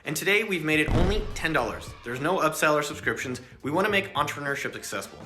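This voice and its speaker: A man's voice: on the high side, lively intonation, fast talker, pushed and bright, faint music behind. A man narrating his video channel in a scripted, upbeat promotional style.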